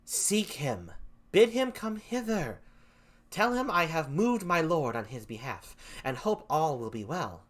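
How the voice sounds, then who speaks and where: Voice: medium pitch, sing-song, unhurried. A reader voicing Desdemona as an earnest, pleading young noblewoman in a play read aloud.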